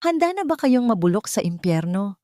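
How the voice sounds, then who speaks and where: A woman voicing a vengeful, powerful older father in a dramatic audio story, speaking in a menacing, deliberate, formal manner. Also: mid-range voice, big pitch swings, warm tone, dry recording, clean studio sound, voice pushed up, close to an everyday voice. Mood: cold fury.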